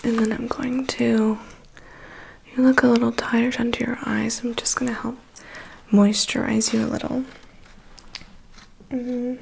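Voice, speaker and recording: A woman playing a chatty, weary vampire's assistant in an ASMR roleplay, in a soft breathy whisper with a confiding manner. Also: on the high side, little pitch movement, slight background noise, low-quality recording. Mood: gentle, attentive. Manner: confiding, gossipy, unhurried. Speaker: ASMR performer. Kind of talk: ASMR personal attention roleplay.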